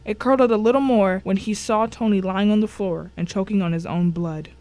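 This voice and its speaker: Lady as a grim narrator reading a crime thriller, medium pitch, dramatic first person storytelling. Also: fairly quick, a little mellow, no room sound, lightly acted. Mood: grim, tense.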